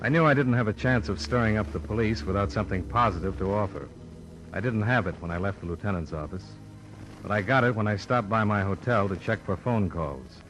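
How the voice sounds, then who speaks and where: An American actor playing a cool, persistent insurance investigator, dry and matter-of-fact, in an old radio noir drama. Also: male voice, fairly low pitch, a little fast, music in the background, natural voice. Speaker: narrator and lead actor. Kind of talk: 1940s-50s radio noir, first-person narration and dialogue. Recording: very noisy old radio recording.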